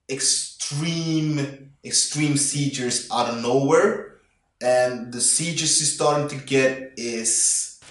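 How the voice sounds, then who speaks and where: A man's voice: mid-range voice, fairly bright tone, far from the mic. A man giving a casual, enthusiastic movie review vlog, rambling with fillers and restarts. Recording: very echoey room, away from the mic.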